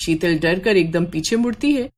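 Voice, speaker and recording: A woman reading scripted horror narration as a dramatic, measured storyteller. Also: fairly low pitch, expressive.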